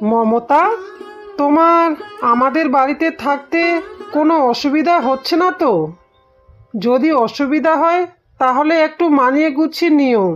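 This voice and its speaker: A woman's voice: high voice, a little slow, long pauses. A woman voicing a caring, tired young husband in a cartoon, speaking solicitously to his wife. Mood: caring, weary. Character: caring, weary young husband home from the city. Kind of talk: Bengali moral-story cartoon acting. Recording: slightly dull, lo-fi sound. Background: plucked string music.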